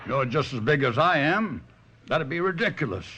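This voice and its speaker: An actor playing a weary, faltering stage ventriloquist in a radio drama, hoarse and halting, on a lo-fi recording. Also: male voice, on the high side, animated, noisy background, lightly acted. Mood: placating. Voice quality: hoarse, creaky. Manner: halting, showman-like stage patter.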